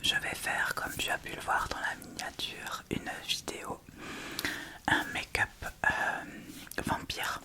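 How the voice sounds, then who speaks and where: A woman whispering a casual ASMR makeup tutorial in a breathy, unvoiced voice, speaking informally and a little hesitantly to the viewer. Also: takes it slowly, bright, forceful tone. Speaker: ASMR video creator and host.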